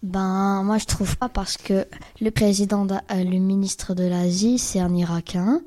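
Mellow, even-toned female debater. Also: mid-range voice, measured pace. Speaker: youth radio debate participant. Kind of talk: casual debate.

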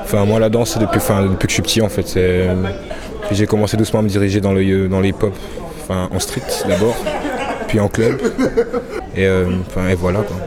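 Deep-voiced dancer over a loud crowd. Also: male voice, little pitch movement, a little fast. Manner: laid-back, hesitant. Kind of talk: casual interview answers.

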